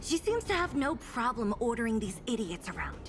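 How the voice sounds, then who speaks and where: Game role: tough young female fighter, weary, no-nonsense, moderately high voice. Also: sing-song, brisk, soft background music. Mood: irritated. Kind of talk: video game voice acting.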